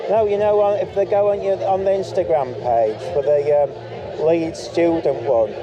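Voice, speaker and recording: A man's voice: a little high, fairly even intonation, normal speed, dull audio, crowd noise. A man from the north of England with a cold, chatting casually and matter-of-factly while filming on the street. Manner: chatty, matter-of-fact, unbothered. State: has a cold. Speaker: street filmer running his own channel. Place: outdoors in a city street at a protest. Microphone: body-worn action camera mic. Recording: noisy outdoor action-cam audio.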